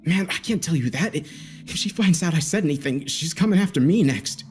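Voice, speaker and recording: A man's voice: mid-range voice, fairly quick, a little mellow, lightly acted. A man voicing a nervous, defensive young male gamer under interrogation in a dramatic audio story. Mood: fearful, bristling. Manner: agitated, defensive, self-justifying. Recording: background music behind the voice.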